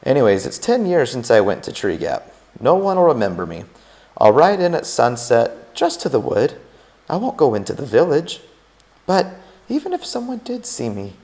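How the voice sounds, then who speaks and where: A man reading aloud, voicing a calm, sensible middle-aged farm wife in a raised, lilting voice. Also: mid-range voice, normal speed, voice pushed up. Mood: patient.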